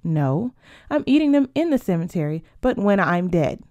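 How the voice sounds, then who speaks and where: A woman's voice: on the low side, big pitch swings, moderate pace, a little mellow, dry recording, dull audio. A woman reading the part of a feisty, stubborn mother in a storybook, with an emphatic, scolding manner.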